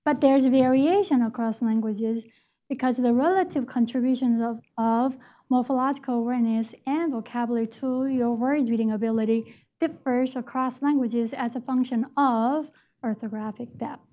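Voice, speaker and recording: High-voiced woman giving an academic lecture. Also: steady tone, moderate pace, dark tone. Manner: explanatory, frequent "right?" checks and self-corrections. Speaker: education professor. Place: lecture stage at a podium.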